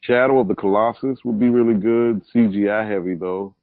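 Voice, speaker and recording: Low-voiced man in casual podcast banter. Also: steady tone, fairly quick, no room sound. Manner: loose, joking, lists ideas. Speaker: podcast co-host. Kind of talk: casual chat. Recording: dry tinny phone-like sound.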